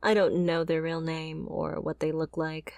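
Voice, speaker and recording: A woman's voice: low-pitched, monotone, measured pace, gravelly, darkish tone, dry recording, studio quality. A woman playing a fiery, bitter mercenary and wounded former bodyguard in a confrontational, sardonic audio roleplay. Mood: sullen, dismissive. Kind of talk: dramatic ASMR roleplay acting.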